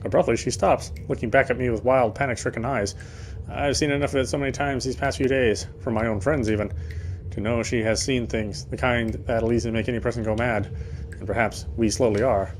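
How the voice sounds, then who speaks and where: A man narrates a horror visual novel as he plays, reading dramatically at a quick clip. His voice is medium in pitch, with faint noise and game ambience behind it. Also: fairly even intonation. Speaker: let's player reading game text aloud.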